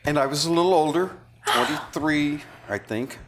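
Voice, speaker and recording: An actor at a table read playing a remorseful, philosophizing man, with a halting, confessional and earnest manner. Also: on the high side, close to an everyday voice. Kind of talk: read-through of a stage drama script. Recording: clearly noisy room recording.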